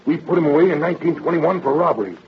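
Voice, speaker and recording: A man playing an easygoing, slangy police detective with a husky, rough-edged voice in an old 1930s radio drama. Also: fairly high pitch, animated, rapid-fire, slight background noise. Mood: chatty. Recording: dull old radio recording.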